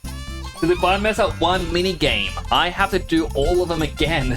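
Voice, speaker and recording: A man's voice: on the high side, expressive, normal speed, over music. A man streaming a video game, chatting casually with viewers, laughing often and a little sniffly with a cold. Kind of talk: casual live-stream chat.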